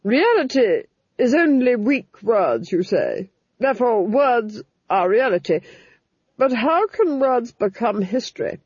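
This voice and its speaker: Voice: mid-range voice, big pitch swings, slow, darkish tone, husky, dry recording, voice pushed up, full character voice. An audiobook reader voicing a domineering, cold duchess who speaks in a commanding, cutting way, in a dull lo-fi recording.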